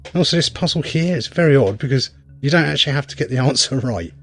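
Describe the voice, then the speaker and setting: A man's voice: mid-range voice, lively intonation, fast talker, faint music behind. A man giving casual gameplay commentary, rambling wryly as he thinks aloud while playing. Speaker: game reviewer and player.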